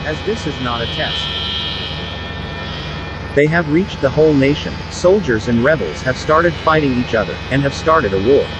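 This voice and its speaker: Male emergency announcer, middle register, scripted alert read, very loud engine-like noise behind. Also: medium pitch. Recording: loud aircraft-like drone behind voice.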